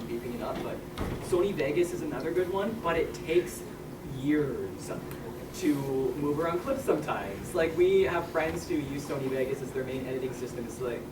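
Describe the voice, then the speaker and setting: A young man chats on a convention panel, loose and self-deprecating, his voice sitting a little high. He is picked up from across an echoey room by a far-off camera, with loud noise all around. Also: fairly quick. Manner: chatty, self-deprecating, lots of fillers. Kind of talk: casual panel talk.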